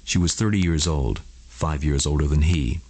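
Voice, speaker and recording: A man with a husky voice narrating a scripted bedtime story for adults. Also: a bass voice, moderate pace, a little mellow.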